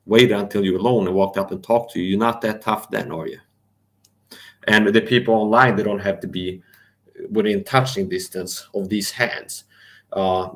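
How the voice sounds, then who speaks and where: A man ranting angrily on a livestream, defiant and profane, talking straight at his listeners. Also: fairly low pitch. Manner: angry, defiant, profane, repetitive; addresses listeners directly and challenges them. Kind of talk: ranting live monologue.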